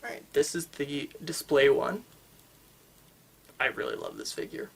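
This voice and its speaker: A person doing a casual ASMR roleplay as a shop worker, chatting in a rambling, halting, enthusiastic way. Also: medium pitch, huge pitch swings, gravelly, slightly rough. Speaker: manga store worker in a roleplay. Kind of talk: ASMR roleplay, casual customer-service chat.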